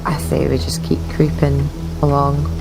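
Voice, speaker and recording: Slow-talking low-voiced woman player. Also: completely flat delivery, vocal fry, music in the background, poor audio. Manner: slow, laid-back, laughs often. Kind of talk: casual tabletop play.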